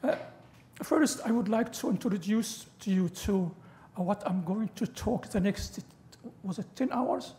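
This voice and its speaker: A middle-aged man whose first language is Arabic delivers an academic talk from a panel table in a big lecture hall, speaking into a table microphone. His voice is mellow and soft-edged. He stumbles through fillers and repeated starts and slips in dry joking asides. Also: high-pitched, slight background noise. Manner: halting, many fillers and restarts, joking asides. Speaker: guest lecturer.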